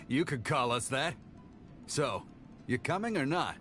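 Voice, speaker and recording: An actor playing a friendly, roguish pirate in an anime video game, casual and teasing, with music behind him. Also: male voice, mid-range voice, lively intonation, slow pace. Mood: breezy.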